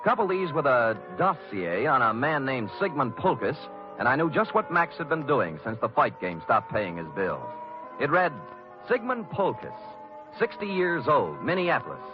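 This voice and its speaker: Man playing a hard-boiled American radio detective, animated delivery. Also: mid-range voice, moderate pace, close to an everyday voice. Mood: wry, recounting. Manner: hard-boiled, wry, clipped. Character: tough, wisecracking male private detective. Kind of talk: old-time radio noir narration and dialogue. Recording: dull vintage radio recording with music.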